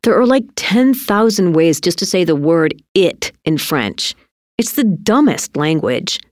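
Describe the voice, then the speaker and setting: A woman's voice: low voice, expressive, measured pace, no echo, studio quality. A woman voicing a sardonic, complaining schoolboy in an audiobook story. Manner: complaining, flippant. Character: Doug, sardonic complaining school-age brother.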